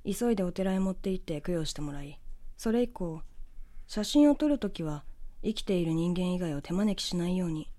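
Mellow-voiced woman narrating a ghost story. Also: on the low side, animated, fairly quick. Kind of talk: scripted horror story narration.

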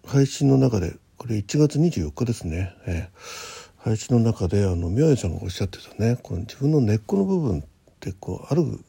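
Guy doing a casual solo morning radio chat, really raspy breathy voice, kinda mellow and muted, mumbles to himself as he thinks. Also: lowish voice, very animated. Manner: halting, thinking aloud, murmuring to himself. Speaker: host of a personal morning radio show. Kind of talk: casual solo chat with health log and listener letters.

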